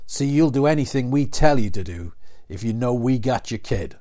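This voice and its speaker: A man's voice: mid-range voice, lively intonation, moderate pace, warm tone, lightly acted. A man doing a snarling Wild outlaw. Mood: menacing taunt. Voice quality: snarling, taunting, mocking. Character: sneering outlaw gang leader, male, cruel and mocking.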